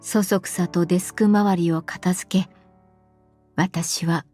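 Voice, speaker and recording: Woman playing a dry, wry travel agent in her late 30s, slow mellow radio story voice. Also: on the low side, steady tone, soft background music. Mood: wry, reflective. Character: woman of 38, wry travel agency employee narrating. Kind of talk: radio drama monologue.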